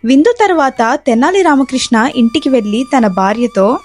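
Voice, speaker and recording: A woman's voice: high voice, animated, moderate pace, darkish tone, faint music behind. A woman narrating a scripted moral story as a storyteller.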